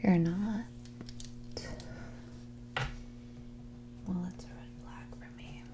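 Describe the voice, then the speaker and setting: Woman acting out a creaky, whispering rich mean girl. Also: fairly low pitch, fairly even intonation, normal speed, lightly acted. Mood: judgmental, dismissive. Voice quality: breathy whispers mixed with soft creaky speech. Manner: condescending, drawling, catty, blunt questioning. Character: mean, snobbish rich young woman on a date. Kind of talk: ASMR roleplay, whispered and soft-spoken.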